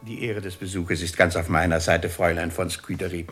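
A man playing a stern, sardonic royal judge in a 1950s German radio drama, speaking with pompous, ironic, forceful declamation. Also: sits low, little pitch movement, brisk, faint music behind. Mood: mock courtesy.

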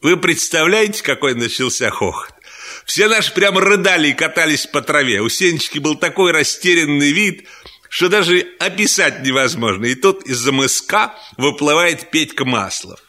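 A man narrating a humorous first-person story in an animated, playful, conversational way. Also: fairly high pitch, normal speed.

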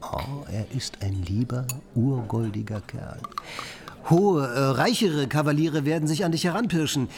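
Florid, persuasive bohemian artist. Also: male voice, medium pitch, measured pace, gravelly. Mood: coaxing. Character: talkative poor male bohemian artist, playful, scheming matchmaker. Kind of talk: literary radio play acting.

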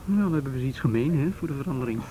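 A man's voice: medium pitch, expressive, very fast, mellow, lightly acted, poor audio. A man acting in a radio play as an easygoing, down-to-earth young local policeman, in naturalistic dialogue. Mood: teasing, friendly.

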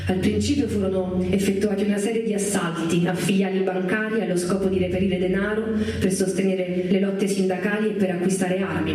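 A woman playing a Latin American revolutionary militant, reading a memoir dramatically in measured, deliberate phrases. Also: mid-range voice, mellow, natural voice. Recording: very echoey, distant voice under music.

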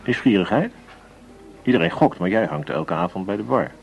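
An actor in a radio drama playing a guarded counterfeit dealer, talking in terse, needling phrases. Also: male voice, lowish voice, animated, moderate pace, creaky, darkish tone, low-quality recording. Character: guarded male counterfeit dealer working for a gang. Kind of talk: Dutch radio drama dialogue.

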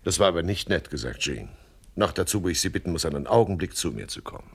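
A low, husky male swindler boss from a 1970s radio crime comedy. He is smooth and long-winded, dressing everything in mock courtesy, with a lively, swooping melody. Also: fast talker, exaggerated voice. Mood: affable bluffing. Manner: verbose, mock-courteous, hesitant filler words.

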